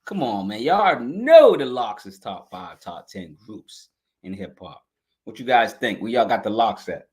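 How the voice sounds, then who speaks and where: A man's voice: fairly low pitch, very animated, unhurried, a little mellow. A man hosting a podcast album review in a casual, hyped, slangy way, speaking straight to his viewers, his voice scratchy this morning. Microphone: live stream podcast mic.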